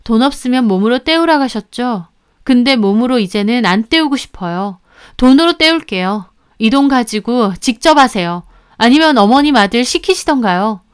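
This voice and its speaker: A defiant daughter-in-law acted by a woman with a high, clear voice. She is fed up and emphatic, standing her ground in a family story drama. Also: lively intonation, normal speed, lightly acted.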